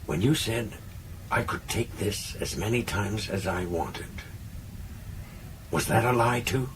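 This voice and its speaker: A man's voice: fairly low pitch, slow pace, a little hiss or hum. A man voicing a stubborn, defiant young male space academy cadet in an audiobook, challenging and earnest. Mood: accusing. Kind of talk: audiobook character dialogue.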